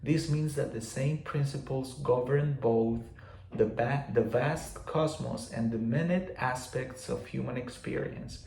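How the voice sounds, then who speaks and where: Man with a gravelly voice. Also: medium pitch, a little slow, darkish tone, reverberant, slight background noise, poor audio. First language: Spanish. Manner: loose and repetitive, many fillers and restarts. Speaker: teacher of spiritual laws. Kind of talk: casual explanatory talk.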